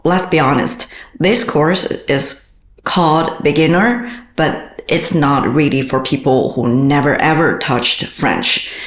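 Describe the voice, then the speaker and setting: Woman, slow halting delivery, casual review, echoey room. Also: low voice. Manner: hesitant, many uh fillers, non-native phrasing. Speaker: co-host reviewing a language course.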